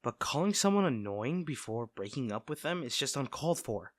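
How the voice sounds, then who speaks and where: Male actor for devoted best friend in audio roleplay; caring, teasing, conversational, lively intonation, dry sound. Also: mid-range voice, fairly quick, no echo, lightly acted. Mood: indignant. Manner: fussing, tender, conversational. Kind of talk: soft-spoken scripted roleplay audio drama.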